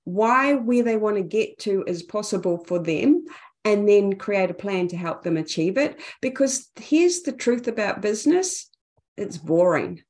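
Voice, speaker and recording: A woman's voice: mid-range voice, expressive, measured pace, a little mellow. Confident New Zealand woman coach. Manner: candid, confident, reflective. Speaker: business coach, guest. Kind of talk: podcast interview conversation.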